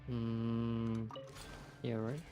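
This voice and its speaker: Slow-talking man muttering through a game stream. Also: mid-range voice, completely flat delivery, music in the background. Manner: muttering short reactions to himself. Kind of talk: casual gameplay commentary.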